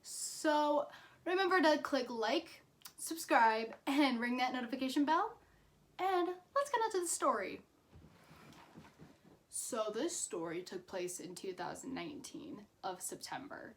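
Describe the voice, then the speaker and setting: High-pitched, chatty young woman storyteller. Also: expressive, a little slow, slight background noise. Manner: chatty, informal, dramatic emphasis in retelling. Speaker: YouTube storyteller. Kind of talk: casual personal storytelling.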